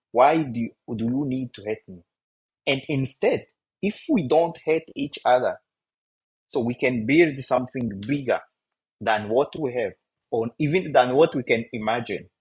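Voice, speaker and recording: Earnest young Rwandan man, husky voice. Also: mid-range voice, lively intonation, a little mellow, low-quality recording. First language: Kinyarwanda. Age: young adult. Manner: earnest, rhetorical questions, halting English. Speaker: youth discussion guest. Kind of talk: casual discussion.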